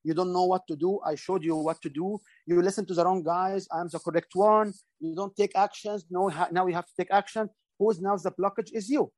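A man's voice: high-pitched, steady tone, fairly quick, few pauses, darkish tone, no echo. A middle-aged man, explaining public speaking in an interview, earnest and instructive, with frequent fillers and restarts. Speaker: guest speaker. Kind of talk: interview answers.

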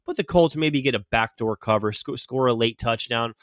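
A man's voice: mid-range voice, moderate pace, fairly bright tone, dry recording. Male podcast host, stuffy cold, loose fan chatter, dry sound. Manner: chatty, fan-style predictions. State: has a cold. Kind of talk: casual sports talk.

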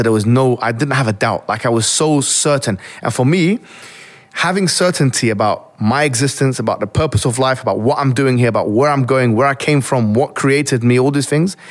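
A young man, originally from Egypt, earnestly reflecting as an interview guest, often restarting his sentences. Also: mid-range voice. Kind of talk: interview conversation.

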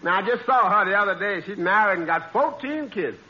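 An actor playing a put-upon, skeptical waiter, wry and needling, in 1940s radio comedy style. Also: male voice, high-pitched, lively intonation, fairly quick. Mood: dry, needling. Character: put-upon, skeptical waiter, dryly deflating his boastful coworker. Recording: muffled, noisy old radio recording.

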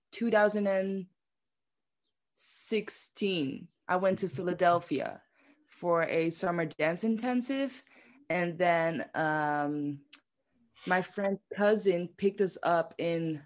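A woman's voice: lowish voice, slow pace. A woman in her twenties from the Netherlands, a dancer chatting casually as the guest of an interview. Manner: chatty, says "like" a lot.

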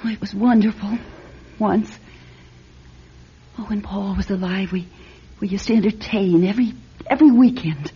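An actress playing an anxious, sleepless wealthy widow in a radio drama, fretful and halting, often repeating her words. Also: mid-range voice, mellow. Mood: weary, wistful. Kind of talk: old-style radio drama acting. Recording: dull, husky-sounding radio recording with music.

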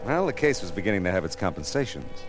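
An actor playing a wry, cool private detective in a hard-boiled, dry, laconic style from 1940s radio drama. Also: male voice, low-pitched, big pitch swings, fast talker, music in the background. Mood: wry, reflective. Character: wry, cool male private detective narrating his case.

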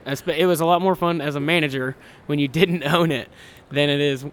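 A man's voice: steady tone, fairly quick, clean tone. A man in his twenties from Kansas, a hunting ranch owner chatting casually in a podcast interview, rambling and self-deprecating with lots of restarts. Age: 20s. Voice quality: high-pitched voice.

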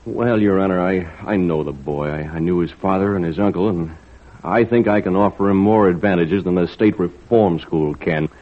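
An actor playing a plainspoken, stubborn, warm-hearted lobsterman in an old-time radio drama, earnest and folksy. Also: sits low, normal speed, lightly acted. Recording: slightly muffled vintage radio recording.

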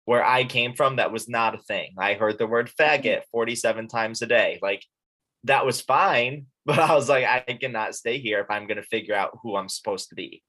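A man from upstate New York hosts a casual podcast chat. He talks loosely and conversationally, dropping "like" every few words, and his voice has a bright, forward edge. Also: mid-range voice, normal speed, rough recording. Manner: chatty, with frequent "like" fillers. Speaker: podcast host.

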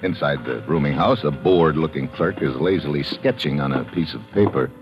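An actor playing a tough, wisecracking American secret agent in a hard-boiled 1950s radio adventure style. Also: male voice, very deep voice, a little fast. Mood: hard-boiled, wry. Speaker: lead actor narrating and playing the hero. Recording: dull old radio recording, music behind.

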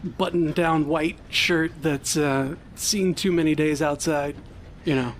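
A man with a fairly high voice joins a tabletop game as a guest. He talks in a casual, conversational way, pausing hesitantly as he improvises descriptions. A noisy rumble sits behind him. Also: fairly even intonation. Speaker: podcast guest and game player. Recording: clear background noise, low rumble.

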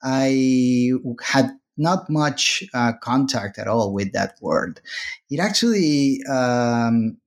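A man's voice: mid-range voice, animated, slow. A man from Argentina, a sociology professor answering interview questions hesitantly with many uhs and restarts. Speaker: guest author, sociology professor.

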